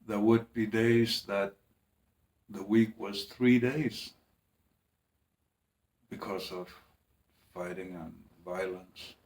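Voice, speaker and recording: A man speaking in a halting, hesitant way, with restarts and long pauses, in a personal monologue. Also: lowish voice, fairly even intonation, a little mellow. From Greenland. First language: Danish.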